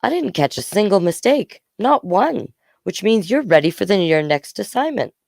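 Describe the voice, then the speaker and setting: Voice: medium pitch, very animated, normal speed, gravelly, darkish tone, no room sound, studio quality, pitched above the performer's own voice. A storyteller voicing an encouraging, businesslike teacher who advises a school newspaper. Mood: approving. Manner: approving, businesslike.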